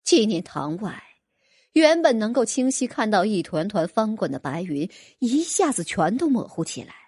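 A woman's voice: mid-range voice, sing-song, moderate pace, darkish tone, slightly rough, no echo, studio quality. A woman reading a fantasy novel as an audiobook narrator, in a dramatic, scripted storytelling style.

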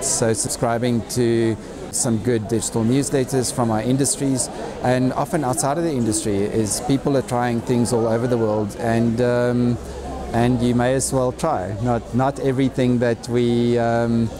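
A man in his forties giving an interview as a retail executive, speaking haltingly as he thinks aloud. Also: mid-range voice. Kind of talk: on-camera interview. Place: large hardware store, indoors. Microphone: lapel. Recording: busy store background chatter.

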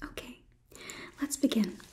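A woman in her twenties whispering softly and breathily in an ASMR roleplay as a polite, condescending robot overlord, with formal, measured phrasing. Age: 20s. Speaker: ASMR performer. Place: small indoor studio set. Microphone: close microphone, off camera.

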